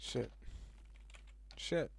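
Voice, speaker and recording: A man's voice: extremely slow, no room sound. Chatty streamer man, dry close sound. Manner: chatty, reacts aloud to chat, swears casually. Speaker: livestreamer. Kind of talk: casual livestream chat with viewers.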